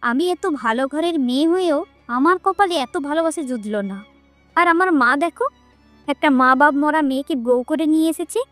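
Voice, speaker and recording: High-pitched jealous young sister-in-law, acted by a woman. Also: animated, brisk, fairly bright tone, faint music behind. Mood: jealous, complaining. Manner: complaining, scolding. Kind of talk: Bengali cartoon fairy-tale voice acting.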